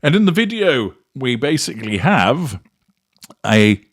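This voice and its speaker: A man's voice: medium pitch, very animated, measured pace, no room sound, studio quality. A man hosting a commentary show, delivering scripted sarcastic remarks in a mocking, drily theatrical manner.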